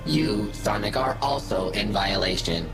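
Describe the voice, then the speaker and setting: A man's voice: lowish voice, unhurried, close to an everyday voice. A man voicing a stern, officious military commander in a game cutscene, formal and authoritative. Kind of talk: video game cutscene acting. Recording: echoey, with loud music behind.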